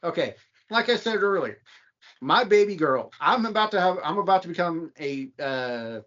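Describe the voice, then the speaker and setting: A man in his late thirties hosting a podcast, telling stories animatedly with high exclamations. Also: expressive, moderate pace, fairly bright tone. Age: late 30s. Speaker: podcast host. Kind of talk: casual chat. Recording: dull lo-fi sound.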